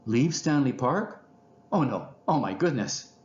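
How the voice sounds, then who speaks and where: A man voicing a naive, timid but excitable young male raccoon from a children's storybook, with a whiny manner. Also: mid-range voice, measured pace, echoey room, muffled recording, pitched above the performer's own voice. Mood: hesitant, alarmed. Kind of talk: children's storybook character reading.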